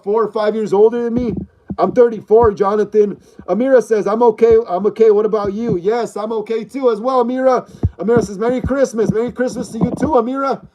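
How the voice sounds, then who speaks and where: A man in his thirties with a fairly high yet mellow voice, hosting a laid-back livestream. He reads out viewer comments and answers them in a repetitive, slangy way. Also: highs cut off. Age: 30s. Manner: chatty and repetitive, uses slang like bro and dog. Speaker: livestream host. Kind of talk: casual live-stream chat reading viewer comments.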